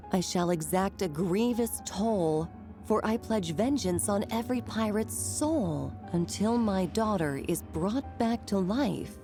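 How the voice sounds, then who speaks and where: A woman playing a caring, determined mother and curator in a video game, measured and thoughtful. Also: mid-range voice. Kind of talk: video game cutscene acting.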